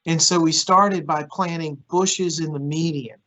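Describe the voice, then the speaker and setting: A man's voice: a little high, fairly even intonation, unhurried, a bit bright. A middle-aged man whose first language is Greek, a county manager answering questions at a public forum in an earnest way that repeats words as he starts phrases.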